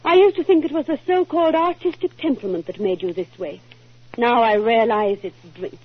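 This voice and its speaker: An actress in a 1940s radio drama playing a long-suffering, protective wife, earnest and theatrical. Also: female voice, fairly high pitch, expressive, brisk. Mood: indignant, angry. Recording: muffled lo-fi 1940s radio recording.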